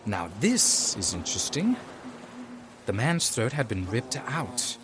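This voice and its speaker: An actor playing a weary, rule-bending detective sergeant in an audio drama, with a dry, understated delivery. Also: male voice, medium pitch, very animated, takes it slowly, a bit bright, a little hiss or hum. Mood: intent, wry. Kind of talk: audio drama acting with first-person narration.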